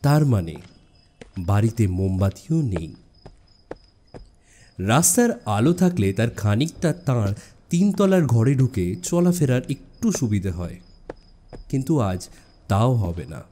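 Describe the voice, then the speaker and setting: A man narrating a suspense story as an audiobook, expressive and dramatic, with deliberate pauses. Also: fairly low pitch, a little hiss or hum.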